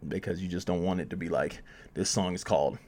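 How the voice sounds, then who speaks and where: Man, lowish pitch, casual advice talk, informal phrasing, emphatic repetition, conversational presenter. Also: fairly even intonation, fast talker, a little mellow. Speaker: music promotion advice presenter.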